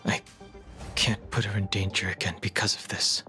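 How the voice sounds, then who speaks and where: A man playing a serious, guarded young man haunted by his past in a video game, speaking in a measured, reserved way. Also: on the low side, flat delivery, over music, close to an everyday voice. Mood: pensive, guarded.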